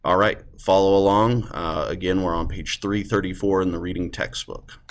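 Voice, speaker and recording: A man's voice: low voice, steady tone, moderate pace. A man explaining a lesson casually to students, in a plain, explanatory manner. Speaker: teacher.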